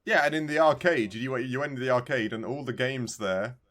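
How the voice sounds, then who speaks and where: A man's voice: medium pitch, brisk, a bit bright. A man co-hosting a podcast, chatting casually about video games in an enthusiastic, rambling way. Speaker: podcast co-host. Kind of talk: casual video game discussion.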